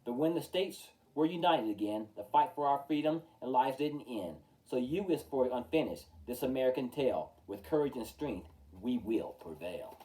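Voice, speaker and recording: Male storytime reader: mellow tone, lively swooping intonation, emphatic rhyming verse, recorded a little far from the mic. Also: mid-range voice, moderate pace, hardly any pauses. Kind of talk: expressive children's picture-book read-aloud. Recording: distant from the mic.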